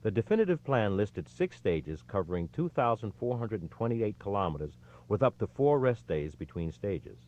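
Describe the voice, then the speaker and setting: A man reading a history book aloud as a scripted audiobook narration, with expressive, emphatic phrasing. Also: on the low side, normal speed, few pauses, highs cut off.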